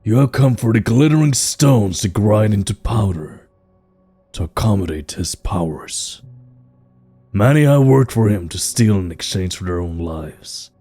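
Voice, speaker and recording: A man's voice: on the low side, expressive, unhurried, warm tone, husky, exaggerated voice. A man playing a proud, grave king of stone folk in a fantasy audio drama, speaking in a stately, formal way. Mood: accusing, menacing. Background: background music.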